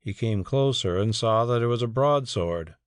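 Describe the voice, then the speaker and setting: A man's voice: medium pitch, expressive, moderate pace, no echo, studio quality. A man narrating a story as a scripted, dramatic audiobook reading.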